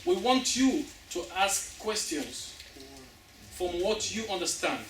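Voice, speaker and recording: A man from Sierra Leone, chairman of a theatre group, welcoming an audience before a play with a halting delivery full of fillers. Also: sits high, big pitch swings, a bit bright, slight background noise. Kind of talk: public welcome address. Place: school hall. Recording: echoey hall from far off.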